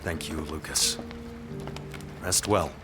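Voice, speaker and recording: A man's voice: extremely low pitch, takes it slowly, over music. A man playing a proud, cold, unyielding lord in a period audio drama. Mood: subdued.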